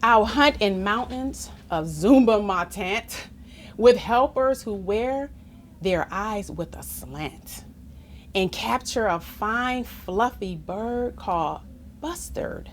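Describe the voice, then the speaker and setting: A woman reading a children's story aloud in an expressive, playful, theatrical manner, as if to young listeners. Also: medium pitch, slight background noise. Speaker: story reader.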